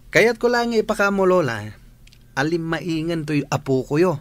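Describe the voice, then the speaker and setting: A man's voice: a little high, lively intonation, slow, fairly bright tone, no room sound. A man playing a cocky, lazy student in a radio drama, talking in a flippant, boastful, pleading way. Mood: cocky, assuring. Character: cocky, lazy male student, breezily self-assured. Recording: clear background noise.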